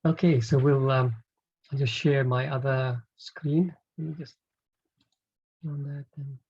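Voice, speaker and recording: A man teaching a class over a video call, giving encouraging feedback in a halting way and repeating his words. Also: medium pitch, fairly even intonation, a little mellow, slightly rough, highs cut off.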